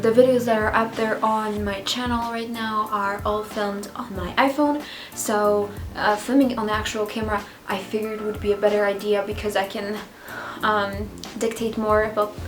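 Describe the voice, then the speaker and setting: A woman in her twenties from Poland, a native Polish speaker with a Polish accent, chatting casually and a little hesitantly to camera as a vlogger. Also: mid-range voice. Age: 20s. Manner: hesitant, slightly nervous. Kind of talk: casual sit-down chat to camera. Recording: roomy indoor echo.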